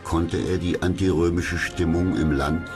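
A man narrates a documentary in an extremely deep voice. His scripted delivery is measured and dramatic, with music clearly playing underneath. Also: fairly even intonation. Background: music behind the voice.

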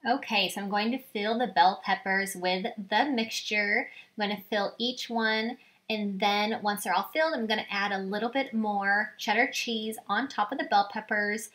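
A woman's voice: medium pitch, fairly even intonation, normal speed, fairly bright tone. A young woman explaining a recipe step by step in an upbeat, plain way, filmed in her home kitchen on a camera set some distance away. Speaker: home cooking vlogger. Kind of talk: step-by-step cooking demo. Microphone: far camera. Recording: echoey kitchen, faint hum.

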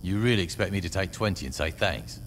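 An actor playing a scarred, hard-edged gang leader in a video game, curt and sarcastic. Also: male voice, sits low, lively intonation, fast talker, a little hiss or hum. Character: scarred male gang boss, blunt and hard. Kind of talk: video game cutscene acting.

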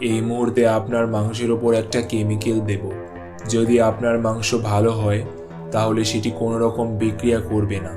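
A man's voice: lowish voice, completely flat delivery, fairly quick, over music, natural voice. A man voicing a stern, formal food inspector in a cartoon. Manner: formal, firm.

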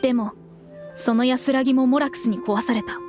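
Woman acting a high-voiced, earnest young archaeologist. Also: moderate pace, soft background music, close to an everyday voice. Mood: thoughtful. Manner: expressive, assertive. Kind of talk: game voice acting.

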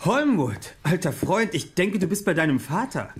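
A man's voice: sits high, sing-song, normal speed, background noise. A man acting an earnest, anxious young doctor in an audio drama. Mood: surprised. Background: loud music.

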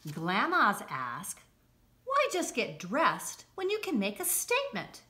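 A middle-aged woman reading a children's picture book aloud, deliberately and with expressive emphasis, recorded indoors a short distance from the camera. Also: fairly high pitch. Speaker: school read-aloud presenter. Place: indoors, home-like room with armchairs.

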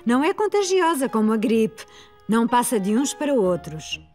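A woman's voice: a little high, very animated, normal speed, a little mellow, faint music behind. A woman voicing a kind, patient preschool teacher in a children's cartoon dub, with a European Portuguese accent. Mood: explaining.

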